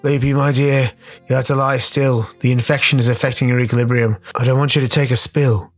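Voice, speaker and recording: A man's voice: medium pitch, flat delivery, a little fast, soft background music, lightly acted. A man playing a grim but caring rogue doctor, explaining things matter-of-factly in a radio play. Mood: soothing. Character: rogue slum doctor, grim, knowing, soothing.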